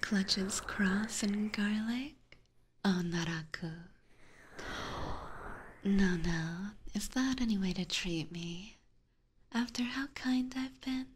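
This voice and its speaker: A woman's voice: mid-range voice, noisy background, natural voice. A woman voicing a seductive, teasing vampire catgirl in an ASMR roleplay, whispering breathily and coaxingly right up close to a binaural microphone. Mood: seductive, soothing. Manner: slow, coaxing, playfully menacing. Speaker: streamer playing a character for live chat.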